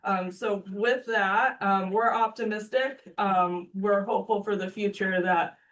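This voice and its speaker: A woman's voice: medium pitch, fairly even intonation, unhurried, fairly bright tone, recorded from a distance, poor audio. A woman giving upbeat, earnest opening remarks as executive director at a breakfast panel, with some ums. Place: meeting room.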